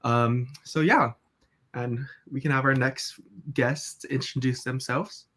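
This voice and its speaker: A young man from Hawaii in his twenties hosting a casual podcast, relaxed and halting, with many fillers. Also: medium pitch. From Kauai, Hawaii. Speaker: podcast host.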